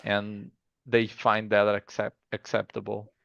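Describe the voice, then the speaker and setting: A man with a Brazilian accent, a guest in a casual interview, who talks haltingly and often restarts with "like". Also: on the low side, completely flat delivery, vocal fry, fairly bright tone, dry recording, muffled recording. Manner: halting, stops and restarts, says 'like' often. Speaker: guest artist.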